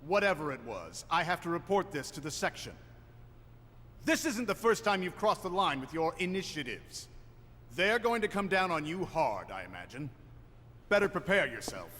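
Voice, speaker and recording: An actor playing a stern, reproachful official in a video game, with an accusing, clipped, authoritative delivery. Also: male voice, on the high side, sing-song, moderate pace, sharp tone. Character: stern, reproachful male official confronting a subordinate. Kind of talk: dramatic video game cutscene acting. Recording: long reverb, faint noise.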